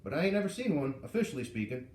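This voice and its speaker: Folksy, curious policeman, read by a man, lively pitch. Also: racing, a little hiss or hum. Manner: folksy, earnest, explaining. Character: plain-spoken, mild, curious policeman. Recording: echoey room, far from the mic.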